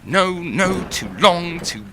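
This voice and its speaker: A man's voice: high-pitched, lively intonation, slow pace, bright, forceful tone, slight background noise, full character voice. A man acting an anguished, self-doubting young writer in an audio drama, lovesick, volatile and intensely emotional. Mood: bitter self-loathing. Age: young adult.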